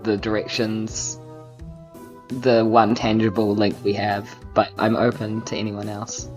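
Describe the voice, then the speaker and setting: Very deep-voiced, unhurried woman player. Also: level tone, darkish tone, soft background music. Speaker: tabletop game player. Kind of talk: casual game discussion.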